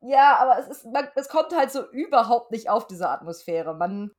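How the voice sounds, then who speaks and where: A woman's voice: on the high side, lively intonation, moderate pace. A woman co-hosting a podcast, chatting casually and colloquially about an audio drama, with emphatic wording. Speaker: podcast co-host.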